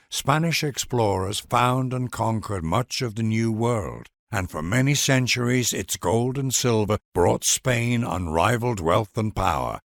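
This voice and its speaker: A man's voice: lowish voice, fairly bright tone, no room sound, clean studio sound, exaggerated voice. A man voicing a reverent herald in a game, narrating in a formal, solemn and stately manner as if addressing a great ruler. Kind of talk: scripted grand narration.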